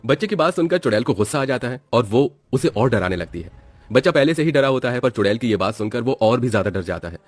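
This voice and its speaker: A man narrating a scripted animated horror story. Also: mid-range voice, fast talker, no echo.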